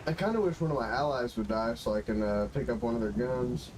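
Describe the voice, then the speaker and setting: A man's voice: medium pitch, animated, fast talker. A man giving casual, rambling live commentary as a game streamer, muttering and swearing to himself with a stuffy-sounding nose. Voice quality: stuffy-nosed. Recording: gunfire and game noise behind voice. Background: video game gunfire and ambience.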